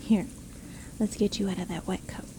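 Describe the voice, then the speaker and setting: A woman playing a seemingly kindly, sly witch who lives alone in a forest, speaking gently and unhurriedly in a fantasy audio roleplay. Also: mid-range voice, fairly even intonation, moderate pace, a little hiss or hum, natural voice. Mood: welcoming. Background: rain storm ambience.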